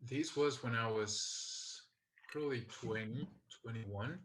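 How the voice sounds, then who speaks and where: A young man from Colombia with a Colombian Spanish accent, a guest talking in a soft, thoughtful, halting way. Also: medium pitch. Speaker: guest, skydiving videographer. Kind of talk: casual interview talk.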